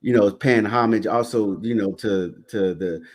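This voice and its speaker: A man hosting a podcast in a laid-back way, asking questions and warmly affirming his guest. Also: fairly low pitch, normal speed. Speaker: podcast host. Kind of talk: casual interview hosting.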